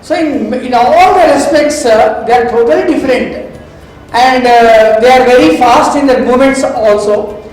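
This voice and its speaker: A man giving a formal lecture, speaking deliberately with many pauses and fillers. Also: very high voice, a little mellow. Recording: echoey hall, distant mic, faint music.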